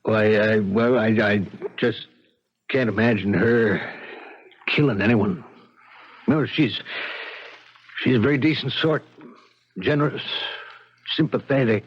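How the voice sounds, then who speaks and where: A dogged, plain-talking lieutenant in a 1970s radio mystery. His husky voice sits fairly low, and he talks in halting cop fashion on a dull-sounding recording. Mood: awkward, defensive. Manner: halting, informal cop talk. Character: earnest, plain-spoken male police lieutenant, doggedly investigating.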